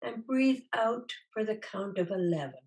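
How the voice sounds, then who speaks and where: A woman leading a guided meditation as a teacher, gently instructing in a soothing, unhurried way with long pauses. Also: medium pitch, animated, low-quality recording.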